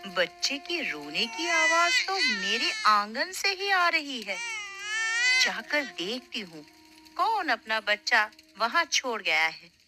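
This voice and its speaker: A woman voicing a kind, tender village woman in an expressive, melodramatic cartoon storytelling style. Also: fairly high pitch, takes it slowly, very noisy. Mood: puzzled, concerned. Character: kind, tender village woman who adopts an abandoned baby girl. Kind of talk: dramatised children's moral story narration.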